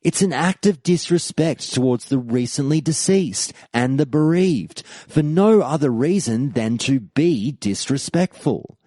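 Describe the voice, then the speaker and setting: A man's voice: a little high, expressive, measured pace, few pauses, dark tone, dry recording. A man hosting a podcast, delivering a scripted, argumentative monologue in an emphatic, rhetorical, debate-style way. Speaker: podcast host. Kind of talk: scripted argumentative monologue, reading out forum posts.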